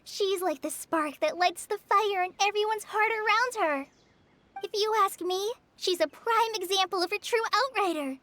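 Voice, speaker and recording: A woman's voice: extremely high pitch, normal speed, pushed and bright. A woman voicing a shy, earnest teenage girl ranger in an anime-style video game, speaking politely with a hesitant, apologetic manner. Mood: admiring.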